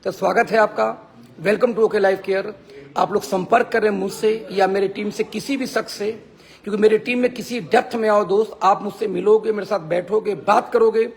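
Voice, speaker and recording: A hoarse man giving an emphatic motivational talk on a live stream as a team leader, repeating phrases for emphasis. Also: high-pitched, steady tone, normal speed. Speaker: network marketing team leader.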